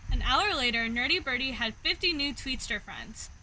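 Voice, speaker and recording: A woman reading a children's picture book aloud as an expressive storyteller. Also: high voice, normal speed, slight background noise, rough recording.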